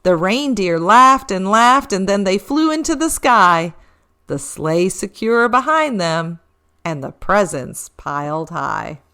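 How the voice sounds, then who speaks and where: A woman reading a rhyming children's story expressively, with a measured, singsong rhythm. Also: mid-range voice, sharp tone.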